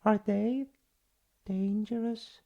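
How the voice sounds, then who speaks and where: A woman's voice: mid-range voice, fairly even intonation, drawn-out words, gravelly, dull audio. A woman voicing a small, timid, curious young troll boy in a bedtime story, asking worried questions hesitantly. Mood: curious, anxious.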